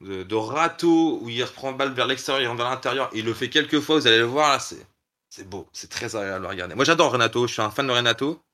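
A man's voice: mid-range voice, lively intonation, bright, forceful tone. A man of Dutch origin, a guest on a podcast, talking about football in a rambling, enthusiastic way. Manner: fast, enthusiastic, rambling with restarts. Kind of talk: casual chat.

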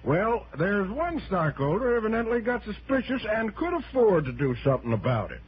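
A man's voice: sits high, very animated, normal speed, slightly rough, heavily acted. An American actor playing a folksy, talkative company man in a 1960s radio drama, colloquial and a little hesitant, on a muffled old recording. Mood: gossipy, suspicious.

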